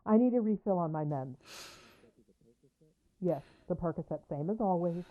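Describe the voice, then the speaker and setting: A woman's voice: on the low side, expressive, normal speed, narrow, tinny audio, natural voice. Actress playing an ailing, evasive pill-dependent mother. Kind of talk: audio drama acting.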